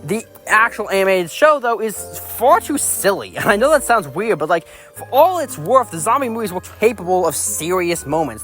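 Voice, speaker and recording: Higher-pitched man, casual rambling opinion commentary. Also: a bit bright. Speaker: video reviewer. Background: background music.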